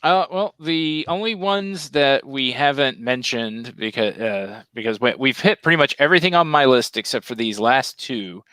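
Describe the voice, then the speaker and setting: A man's voice: medium pitch, moderate pace, few pauses, sharp tone, clear, resonant tone, no room sound. A man giving emphatic opinions in a casual panel chat about films over a video call. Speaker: podcast panelist.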